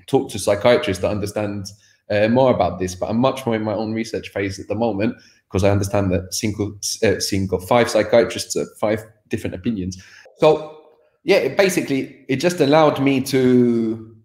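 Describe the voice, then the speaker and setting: A man's voice: medium pitch, a little mellow. Man talking frankly in an echoey room. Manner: rambling, frank, laughs often, restarts. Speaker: guest, language course creator. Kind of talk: candid personal conversation. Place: home room by a sofa. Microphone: video call. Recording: echoey room over video call.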